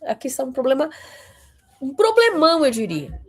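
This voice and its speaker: A woman's voice: high-pitched, wildly swooping pitch, moderate pace. A woman, giving a conversational talk to fellow Christians, often tagging her sentences with a questioning "né?" and leaning into emphatic rises. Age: 40s.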